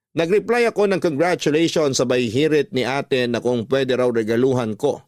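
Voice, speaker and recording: A man's voice: mid-range voice, darkish tone, dry recording, clean studio sound, lightly acted. A man narrating a scripted first-person radio story as an uncle recounting his family troubles.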